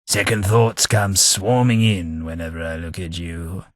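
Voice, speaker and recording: A man's voice: low-pitched, unhurried, no echo. A man playing a dry, sardonic gent. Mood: wry. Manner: dry, wry, pompous, sardonic. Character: sardonic posh male English companion, once a man. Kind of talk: video game voice acting.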